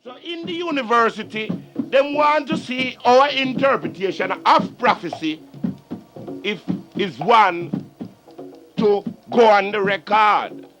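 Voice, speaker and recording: High-pitched Caribbean man on archival tape. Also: takes it slowly. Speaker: Rastafarian speaker. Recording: archival reel-to-reel tape, music behind.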